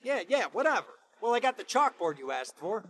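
A hyper, whiny male sidekick from a game cutscene, high and sharp. He babbles excitably and repeats himself, swinging all over in pitch, with faint noise behind. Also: a little fast. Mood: eager, flustered. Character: jittery, hyper, whiny crooked lawyer sidekick. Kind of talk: video game cutscene acting.

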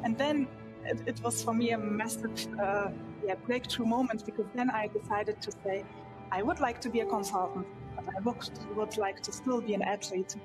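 A woman's voice: medium pitch, fairly even intonation, normal speed, music in the background, poor audio. A woman in her thirties from Germany, with Turkish as her first language, speaks earnestly but hesitantly as a panel guest, using a headset mic on a studio stage. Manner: hesitant, many fillers and restarts, earnest. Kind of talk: panel discussion.

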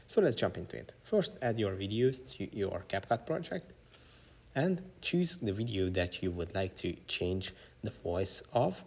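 A man presents a step-by-step tutorial in a low-pitched voice. The tone is rough and husky, and the delivery is that of screen-recording narration. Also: big pitch swings, unhurried, darkish tone.

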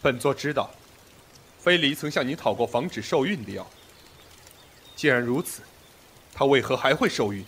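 An actor playing a proud, commanding sect master in a period audio drama, with imperious, formal speech. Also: male voice, medium pitch, lively intonation, moderate pace, long pauses, fairly bright tone, slight background noise, close to an everyday voice. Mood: cool, probing.

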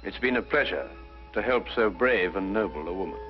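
An actor playing a brilliant, courteous detective, speaking precisely and deliberately in 1950s detective drama style. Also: male voice, low voice, expressive. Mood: calm, assured. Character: brilliant, composed male detective, methodical and courteous. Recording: muffled 1950s broadcast, music underneath.

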